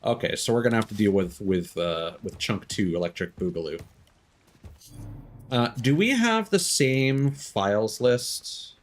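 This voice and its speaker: Man, lowish voice, animated intonation, game streamer, live commentary, playful quips. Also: measured pace. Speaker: streamer playing a video game. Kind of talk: live gameplay commentary, reading game text aloud.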